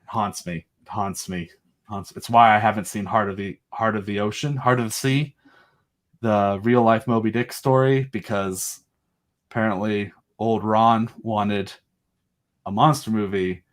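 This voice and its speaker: A man's voice: fairly low pitch, steady tone, unhurried. A man being interviewed as a guest, chatting casually and enthusiastically about his creative work, rambling and jokey with dramatic emphasis. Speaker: guest, creator of a giant monster audio drama.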